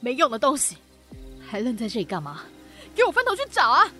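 A woman playing a sadistic, obsessive gang boss in an audio drama, taunting with mock sweetness and drawn-out gloating. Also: high voice, huge pitch swings, pushed and bright, faint music behind, heavily acted. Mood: scornful anger.

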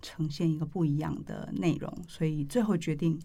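A middle-aged woman with a Taiwanese Mandarin accent hosts a podcast interview, telling stories conversationally in deliberate, halting phrases. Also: low voice, mellow. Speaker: podcast host, publishing editor-in-chief. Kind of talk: conversational interview with reflective storytelling.

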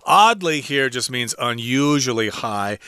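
Man, bright voice, playful explaining, dry close mic. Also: mid-range voice, expressive, a little slow, smooth, no echo. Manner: playful, acts out quoted voices and defines words. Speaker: co-host of English lesson. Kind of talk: conversational lesson.

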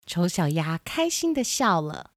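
A woman's voice: on the low side, very animated, measured pace, no echo, studio quality. A woman reading scripted narration for a children's storybook tale. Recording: music behind the voice.

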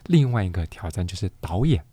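Deep, dark-toned Taiwanese male host. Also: very animated, brisk, slightly rough, no echo. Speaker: interview host. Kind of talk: conversational interviewing.

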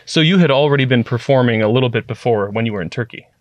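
A man from the United States hosting a radio interview, relaxed and encouraging with short backchannels. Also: mid-range voice, quick pace, dry recording. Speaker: radio host.

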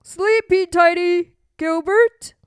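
A woman's voice: very high voice, slow, dry recording, clean studio sound, pitched above the performer's own voice. A woman voicing a patient but firm storybook king and father, emphatic and reassuring. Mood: coaxing.

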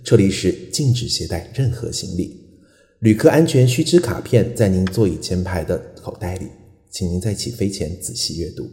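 A very deep-voiced male flight attendant, played by a man delivers a formal safety briefing for an audio drama. The instructional delivery moves through lively pitch swings, in a roomy, echoing space. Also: normal speed. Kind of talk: scripted in-flight safety announcement. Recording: roomy, echoey hall sound.

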